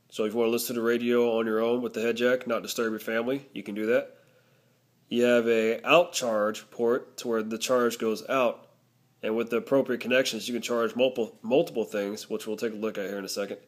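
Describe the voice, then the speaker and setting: A man narrating a casual product review, explaining things step by step. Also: mid-range voice.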